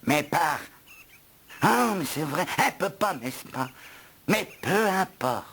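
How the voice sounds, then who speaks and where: A man voicing a grumpy, gluttonous house elf in an animated film dub, with a theatrical, grumbling, wry delivery. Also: on the high side, takes it slowly, creaky, slight background noise, full character voice. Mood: impatient, instructive.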